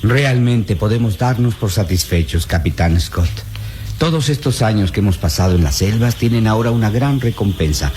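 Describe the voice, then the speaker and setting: An actor playing an elderly, scholarly anthropology professor in a radio drama, speaking formally and with satisfaction. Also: male voice, on the low side, animated, moderate pace, darkish tone, slight background noise, natural voice. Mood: pleased.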